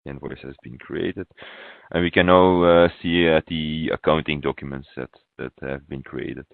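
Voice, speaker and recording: Very deep-voiced man on a thin phone-like line. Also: creaky, no room sound. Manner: halting, pausing mid-phrase. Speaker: software consultant presenting a webinar demo. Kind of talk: instructional software walkthrough. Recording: thin, phone-like webinar audio.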